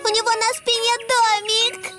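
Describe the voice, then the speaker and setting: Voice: very high-pitched, little pitch movement, measured pace. An actor voicing a playful, bossy little animal girl in a preschool cartoon, with a childlike, teasing way of talking. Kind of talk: Russian-dubbed preschool cartoon acting. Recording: music under the voice.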